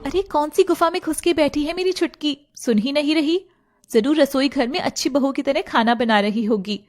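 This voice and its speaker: A woman's voice: high voice, moderate pace, natural voice. A woman voicing a bossy, affectionate young relative who teases and scolds playfully in a story. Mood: playful scolding. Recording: music playing behind the voice.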